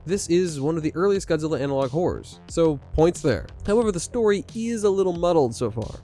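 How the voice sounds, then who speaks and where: A man's voice: fairly high pitch, animated, a little fast, mellow, faint music behind. A man giving scripted video-essay commentary as a co-host.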